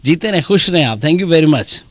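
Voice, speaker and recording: Playful Pakistani male host over loud music. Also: a little high, expressive. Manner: playful, teasing banter, switching into Punjabi and English phrases. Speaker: radio show host on a live social media session. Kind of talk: casual live chat, reading out listeners' messages. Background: music playing.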